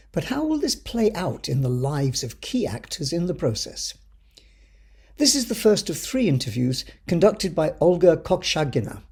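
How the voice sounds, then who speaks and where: A man with a husky voice reading a scripted podcast introduction as its host. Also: fairly high pitch, mellow.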